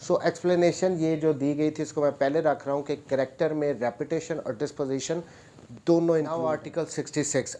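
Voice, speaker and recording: A man's voice: mid-range voice, warm tone. A man with a Pakistani accent lecturing on law in an explanatory, didactic way, mixing in English legal terms and repeating key points for emphasis.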